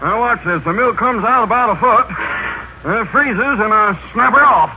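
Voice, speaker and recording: A man's voice: high-pitched, expressive, moderate pace. A man acting a folksy, matter-of-fact farmer in a 1930s radio comedy. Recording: muffled noisy 1930s radio recording.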